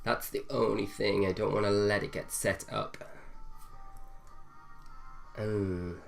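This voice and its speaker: Low-voiced man with a cold. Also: fairly even intonation, slow, creaky, soft background music. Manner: thinks aloud, hesitant with fillers. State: nasal from a cold. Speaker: gaming video host. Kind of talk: casual gameplay commentary.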